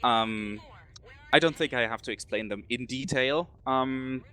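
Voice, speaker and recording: Bright-voiced German man, hesitant. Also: medium pitch, unhurried, clean tone. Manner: hesitant, many ums, laughs easily. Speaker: guest, faction leader. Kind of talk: casual interview answers.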